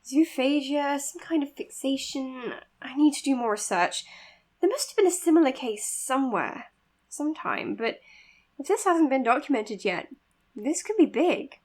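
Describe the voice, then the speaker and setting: A woman playing an earnest, modest young psychiatrist in an audio drama, speaking in a measured, precise, slightly hesitant way. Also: high voice, lively intonation, a little mellow. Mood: intrigued, clinical. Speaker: doctor being interviewed on a podcast. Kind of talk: audio drama acting, natural interview and voice notes.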